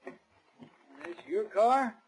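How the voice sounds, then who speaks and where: An actor playing a shifty, folksy garage mechanic in a 1940s radio crime drama, speaking informally and hesitantly. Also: male voice, high-pitched, expressive, takes it slowly, noisy background. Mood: casual. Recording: vintage 1940s radio recording.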